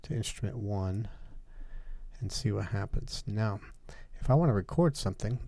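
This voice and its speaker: A man teaching music production in a methodical software tutorial, explaining each step as he goes. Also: on the low side, moderate pace, no echo. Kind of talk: software tutorial, talking through steps.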